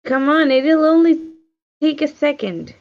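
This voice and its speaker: A woman's voice: high-pitched, expressive, a little slow, voice pushed up. A woman playing a commanding, unsentimental witch mentor in a screenplay table read. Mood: coaxing, impatient. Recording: muffled online meeting audio.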